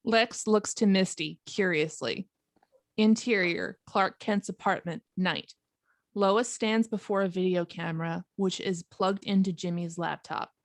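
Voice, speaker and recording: Casting: female narrator for script directions, lowish voice, slow delivery, dry sound. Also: no echo. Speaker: narrator reading stage directions. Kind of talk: scripted narration at an amateur table read.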